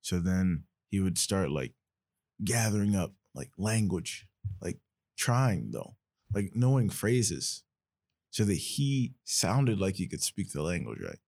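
A man's voice: low voice, very animated, slow pace, vocal fry, darkish tone, no echo, studio quality. A young man hosting a podcast, telling a story in a reflective, casual way. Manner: reflective, storytelling, frequent fillers. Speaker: podcast host.

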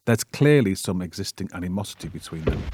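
A man with a fairly low voice narrates from a script. He presents in measured sentences, telling a story to listeners. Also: lively intonation, a little mellow, husky, dry recording, studio quality.

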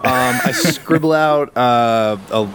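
A man of medium pitch plays a tabletop game with casual, improvised role-play. He sounds laid-back and jokey. Noise is clearly audible behind him. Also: takes it slowly.

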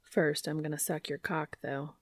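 A woman's voice: low-pitched, fairly even intonation, normal speed, a little mellow, dry recording, voice pushed down. A woman voicing a brash, slangy, earnest man in an audiobook-style story. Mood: low, seductive.

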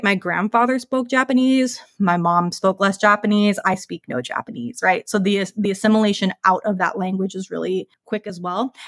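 A woman's voice: medium pitch, a bit bright, no room sound, studio quality. A woman in her thirties, a podcast guest, speaking casually and thoughtfully with frequent "like" and "right?" fillers. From United States.

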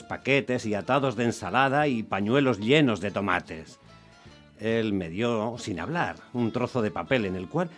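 An actor playing a superstitious, aggrieved taxi driver who tells his story as an animated, complaining radio monologue. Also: on the low side. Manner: animated complaining, rhetorical questions to the listener. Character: aggrieved, superstitious male Roman taxi driver telling his bad day. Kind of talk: dramatic monologue in radio theatre.

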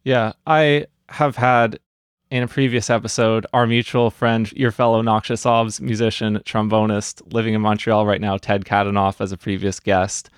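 A man's voice: fairly low pitch, level tone, moderate pace, smooth, no echo, clean studio sound. A man hosting an interview podcast, reading an upbeat scripted introduction and then asking questions. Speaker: podcast host.

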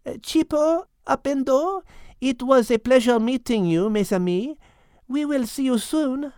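A man voicing a gentle, caring female cat in a storybook reading, speaking softly and earnestly. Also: very high voice, smooth, dry recording, clean studio sound, pitched above the performer's own voice. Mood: concerned. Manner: soft, slow and earnest, sprinkled with French words.

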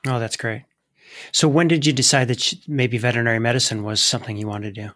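A man's voice: mid-range voice, steady tone, fast, sharp tone, no echo. A man hosting an interview, delivering introductions and questions to a guest. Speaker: interviewer and host.

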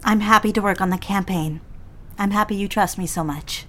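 A woman playing an anxious, deferential young secretary in a period office drama, halting and flustered as she talks. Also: mid-range voice, fairly bright tone, close to an everyday voice. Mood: apologetic, nervous. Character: anxious, eager young secretary, engaged to be married.

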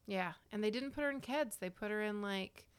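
A woman's voice: medium pitch, fairly quick, clear, resonant tone, no echo. Chatty woman, dry close sound. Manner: chatty, enthusiastic, trailing off with fillers. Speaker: podcast co-host. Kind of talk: casual chat.

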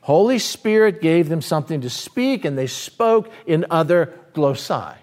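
A man preaching a teaching sermon, speaking with animated swings between fast bursts and slow, emphatic words. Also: a little high. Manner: animated preaching with sudden swings in pace and loudness. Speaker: pastor.

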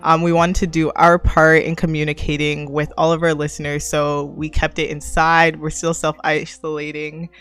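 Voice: mid-range voice, completely flat delivery, normal speed, few pauses, fairly bright tone, clear, resonant tone. A podcast host interviewing guests in a casual, informal and slangy way.

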